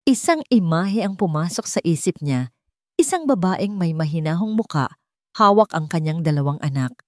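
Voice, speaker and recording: Filipino woman, audio story narrator, lower pitch, lively intonation, dramatic scripted delivery, dry recording. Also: moderate pace, dry recording.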